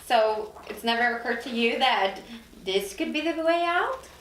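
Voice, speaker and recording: A woman's voice: on the high side, animated, a little slow, a bit bright. A woman playing an incredulous, insistent newly dead woman of forty at a drama table read. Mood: sceptical. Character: indignant, disbelieving forty-year-old woman newly dead. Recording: roomy echo, distant mic, faint noise.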